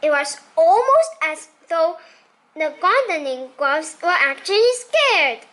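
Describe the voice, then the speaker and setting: Female children's book reader, extremely high and bright, slow and expressive delivery. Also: low-quality recording. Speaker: storybook reader. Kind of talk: expressive children's story read-aloud.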